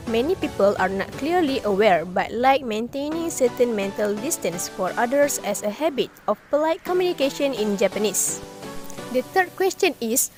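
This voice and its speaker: A young woman student reading questions and answers for a class video. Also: fairly high pitch. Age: young adult. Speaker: student presenter.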